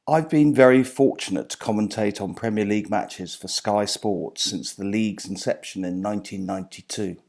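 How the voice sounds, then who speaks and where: A middle-aged or older man reading aloud from a book as its author, measured and phrase by phrase. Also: on the low side, gravelly, a little mellow. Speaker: author and sports journalist reading own book.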